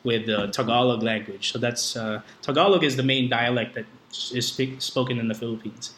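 A man's voice: mid-range voice, steady tone, normal speed, a bit bright. A man talking casually and jokingly as a podcast guest, in informal, slangy speech. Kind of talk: casual conversation. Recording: echoey room with faint background noise.

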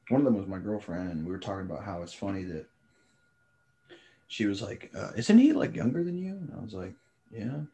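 Young dude rambling a story, lowish voice, deadpan, lots of likes. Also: male voice, a little fast, creaky. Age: young adult. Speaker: podcast co-host. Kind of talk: casual chat.

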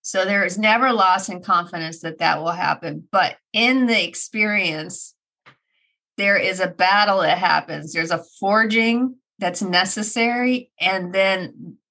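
A woman in her forties co-hosting a podcast, her voice a little raspy after an illness, chatting in an animated way and laughing often. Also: lowish voice. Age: 40s. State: slightly raspy from recent illness. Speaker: podcast co-host. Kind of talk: casual chat.

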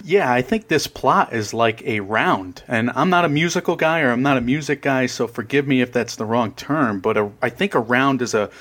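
A man's voice: medium pitch, normal speed, few pauses, fairly bright tone. A man who is a guest on a film podcast, rambling casually and laughing at his own jokes. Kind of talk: casual film review chat.

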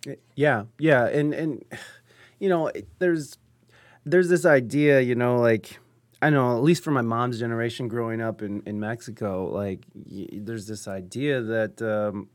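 Halting, thoughtful male interviewer. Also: medium pitch. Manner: halting, many fillers and restarts, then animated. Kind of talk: casual interview conversation.

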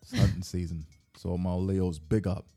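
A man's voice: low-pitched, fairly even intonation, moderate pace, dark tone, slightly rough. A man co-hosting a podcast in casual, slangy chat, laid-back and playfully cocky. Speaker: podcast co-host. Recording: music under the voice.

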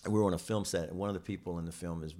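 A man's voice: low voice, fairly even intonation, rapid-fire, dark tone. A man in casual conversation as a podcast guest, telling rambling, self-deprecating anecdotes as a comedian would. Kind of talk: casual chat.

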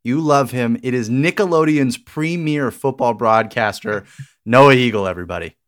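A man's voice: mid-range voice, normal speed. A man hosting a casual podcast, speaking in a hesitant, playfully formal way. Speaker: podcast host.

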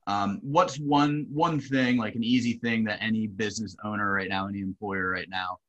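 Man, interview host, low voice, hesitant, filler words, dull lo-fi audio. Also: fairly even intonation, normal speed, fairly bright tone. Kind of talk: interview questions.

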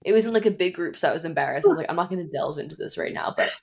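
A woman's voice: on the low side, lively intonation, rapid-fire, creaky, slightly rough. A young woman co-hosting a podcast, reacting chattily with many "like" fillers. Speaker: podcast co-host. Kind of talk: casual chat.

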